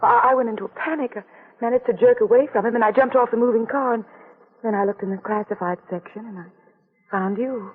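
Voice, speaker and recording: A woman's voice: a little high, fast talker, slightly rough. An actress playing a frightened young woman with amnesia, anxious and pleading, in 1940s radio acting. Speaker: detective's client. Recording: tinny old radio broadcast.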